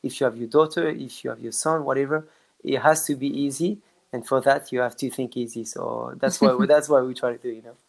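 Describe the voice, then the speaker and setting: A man's voice: medium pitch, fairly even intonation, brisk, creaky, rough recording. A man with a French accent, a dance teacher talking casually in an interview over a video call, with many restarts and fillers.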